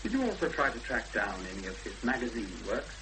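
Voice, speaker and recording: A man playing a calm, probing interviewer in a 1970s radio drama, asking measured, formal questions. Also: medium pitch. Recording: noisy, hissy 1970s radio broadcast recording.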